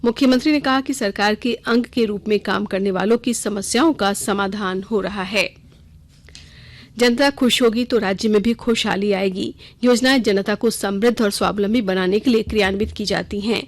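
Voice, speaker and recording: A woman's voice: medium pitch, normal speed. A woman news reader delivering a scripted radio bulletin in a formal news-reading style.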